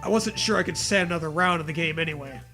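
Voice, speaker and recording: A man's voice: fairly high pitch, fast, bright, forceful tone, soft background music. A man reading a story aloud in a comedic way, with mocking, profane casual riffing.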